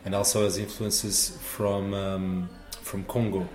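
A man's voice: low voice, takes it slowly, echoey room. Man in his late thirties. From Portugal. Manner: relaxed storytelling with many "you know"s. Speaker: dance teacher and festival organizer. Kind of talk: casual interview answers.

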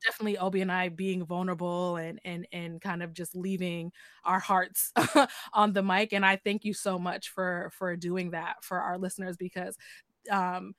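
A woman's voice: on the low side, flat delivery, normal speed, a bit bright, no echo, clean studio sound. A woman hosting a casual podcast, thanking her guests in a rambling, heartfelt way with restarts. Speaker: podcast host.

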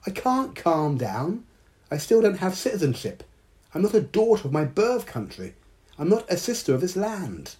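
A man voicing an anxious, excitable young female mermaid in a storybook reading. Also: mid-range voice, moderate pace, voice pushed up, natural voice. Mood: distraught. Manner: emotional, pleading.

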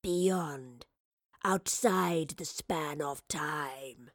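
A woman voicing a menacing ancient wraith in a stolen body, with a hissing, whispery, drawn-out delivery for an audio drama. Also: low voice, dry recording, clean studio sound, pitched below the performer's own voice, exaggerated voice. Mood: ominous. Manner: very slow, drawn-out, ominous.

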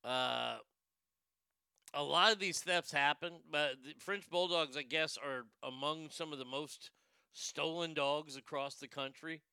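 A man's voice: on the high side, measured pace, creaky, sharp tone, smooth, no room sound, clean studio sound. A man giving comedic news commentary, sarcastic and profane, who often breaks into laughter. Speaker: commentary host.

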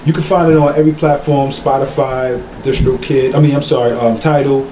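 A man's voice: mid-range voice, steady tone, fairly quick, mellow. A man, a guest musician, talking loosely and at length about his album, often repeating and restarting phrases. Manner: loose, rambling, repeats himself. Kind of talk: casual podcast talk. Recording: echoey room with faint noise.

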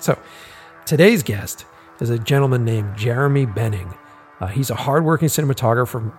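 Male podcast host, hoarse and raspy, loose chatty delivery full of ums. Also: mid-range voice, darkish tone. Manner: rambling, lots of ums and uhs. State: hoarse from a busy week. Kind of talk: casual podcast talk.

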